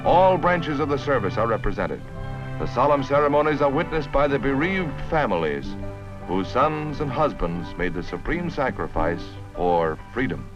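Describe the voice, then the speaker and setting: A man's voice: medium pitch, big pitch swings, moderate pace, lo-fi sound, music in the background. An American man narrating a 1950s newsreel in a polished, dramatic, declamatory style on a vintage soundtrack. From United States.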